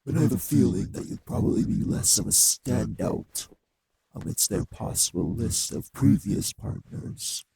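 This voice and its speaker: A man playing a cruel, taunting male vampire in an audio drama, with a husky, gravelly voice and a slow, mockingly polite manner. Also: fairly low pitch, sing-song, warm tone, no room sound, exaggerated voice. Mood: cold mockery. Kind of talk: scripted audio roleplay drama.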